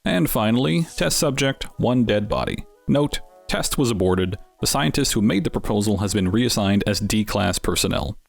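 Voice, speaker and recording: A man's voice: on the low side, expressive, moderate pace, gravelly, hardly any pauses, soft background music. A man giving scripted narration as the host of an explainer series, with wry, dryly humorous asides.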